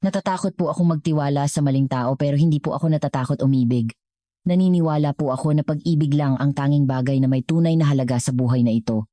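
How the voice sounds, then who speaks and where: Woman reading a gentle, mellow Filipino mother. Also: low voice, fast talker, no room sound, close to an everyday voice. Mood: thoughtful. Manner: polite, gentle, heartfelt. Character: humble, gentle poor mother, wise about love. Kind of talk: storybook dialogue.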